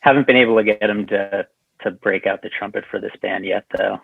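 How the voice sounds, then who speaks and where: A man's voice: fairly low pitch, fairly even intonation, brisk, vocal fry, breathy edge, no room sound. An American man from southern New Hampshire chatting casually as a podcast guest over a thin line. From southern New Hampshire, USA. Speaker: podcast guest, punk band musician. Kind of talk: casual chat. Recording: thin phone-like line, dry.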